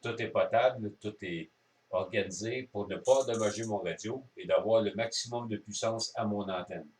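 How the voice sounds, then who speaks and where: A man's voice: lowish voice, normal speed, darkish tone. A man with a Quebec French accent explains things step by step in a casual hands-on demonstration, as an amateur radio operator. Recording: roomy echo, distant microphone.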